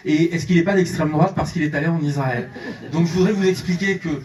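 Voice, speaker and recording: A man's voice: fairly high pitch, brisk, a little mellow, recorded from a distance. Impassioned male speaker in an echoey hall. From France and Iran, French-Iranian. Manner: fervent, repeats words when pressed. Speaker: journalist speaking at a conference. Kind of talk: impassioned conference speech. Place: large theatre hall.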